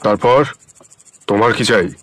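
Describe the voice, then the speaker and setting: An actor playing a kindly, wise wandering ascetic in an audio story drama, speaking in a gentle, earnest, philosophical manner. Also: male voice, fairly low pitch, steady tone, a little slow, faint music behind. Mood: curt but kind. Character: wandering holy man, kindly, wise, plain-spoken ascetic.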